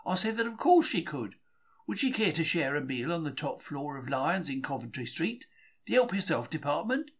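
A man's voice: fairly high pitch, big pitch swings, normal speed, fairly bright tone. A man with a British accent reads a diary aloud as scripted first-person narration, telling it in a wry, colloquial way with old-fashioned slang. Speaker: reader of a diary for a podcast.

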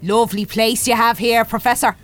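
A woman's voice: on the high side, fairly even intonation, a little fast, fairly bright tone, faint music behind, close to an everyday voice. An actress in an audio drama playing a flirty, gushing working-class woman with a Dublin accent, over faint noise. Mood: excited, impressed. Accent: Dublin Irish. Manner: forward, gushing.

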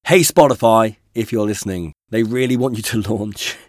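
A man co-hosting a podcast, hoarse from a cold, chatting in a dry, wry, self-mocking way. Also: fairly low pitch, moderate pace, dry recording. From United Kingdom. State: hoarse from a cold, voice deeper than usual. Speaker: podcast co-host. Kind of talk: casual chat.

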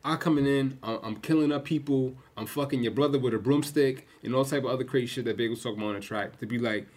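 Fast-talking blunt man in casual chat. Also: medium pitch, darkish tone. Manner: fast, blunt, swears freely, storytelling. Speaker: podcast co-host.

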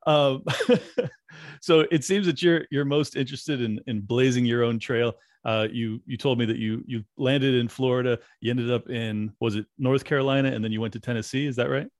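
A man's voice: medium pitch, steady tone, moderate pace, dry recording, studio quality. A man hosting a podcast interview, talking casually with restarts and fillers. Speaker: podcast host. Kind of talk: conversational interview.

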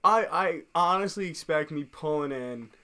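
Young man in relaxed, swearing podcast chat. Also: on the high side, normal speed, smooth. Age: young adult. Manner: laid-back, swears a lot, laughs often. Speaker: podcast co-host. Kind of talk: casual chat.

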